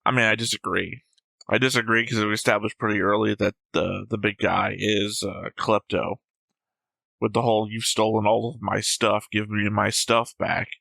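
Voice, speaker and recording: A man's voice: lowish voice, little pitch movement, normal speed, vocal fry, pushed and bright, no echo. A man co-hosting a reaction show, casually talking through his notes, analytical and jokey. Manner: analytical, jokey, acts out quotes. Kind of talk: casual discussion reading notes.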